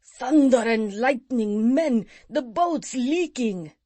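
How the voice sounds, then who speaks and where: A woman's voice: medium pitch, animated, measured pace, no room sound. A woman doing a bellowing, gloating pirate captain. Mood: alarmed. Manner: shouting, gloating. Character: blustering male pirate captain, boastful.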